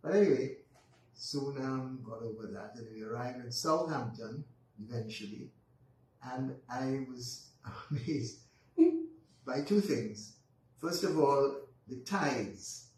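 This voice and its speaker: A man in his eighties with a Barbadian accent, telling stories of his life as a guest in an unhurried way, often pausing with ums and restarting. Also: medium pitch, a little mellow. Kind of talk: reminiscing storytelling in conversation. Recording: roomy echo, distant mic, faint noise.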